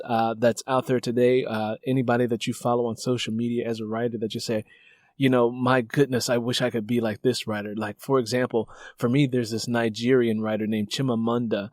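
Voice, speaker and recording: A man's voice: medium pitch, steady tone, normal speed, no echo, clean studio sound. A man interviewing as host and fellow writer, conversational and effusive, repeating words for emphasis.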